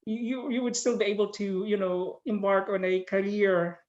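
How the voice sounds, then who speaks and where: A woman's voice: medium pitch, fairly even intonation, moderate pace, darkish tone, highs cut off. A woman in middle age hosting a casual interview on a video call, chatty and friendly. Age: 40s or older. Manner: friendly, chatty, laughs often. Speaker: podcast host. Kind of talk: casual interview, mixing Tagalog and English.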